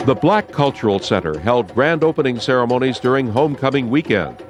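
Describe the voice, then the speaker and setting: A man's voice: mid-range voice, expressive, brisk, over loud music. An American man narrating a university newsreel from a script, as the announcer.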